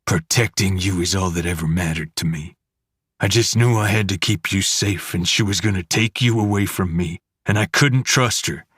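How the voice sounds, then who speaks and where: A man voicing a tearful, remorseful aging father who pleads in halting bursts. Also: low voice, level tone, husky, dry recording, clean studio sound. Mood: pleading.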